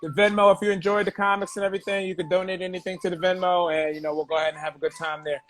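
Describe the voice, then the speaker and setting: A man hosting a comedy talk show, talking casually and excitably, swearing and laughing a lot. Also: sits high, rapid-fire, soft background music. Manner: profane, excitable, laughs often.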